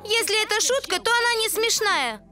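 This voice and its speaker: Very high-voiced teenage angel girl. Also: lively intonation, brisk. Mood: annoyed, defiant. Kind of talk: animated series dub acting. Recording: clear background music.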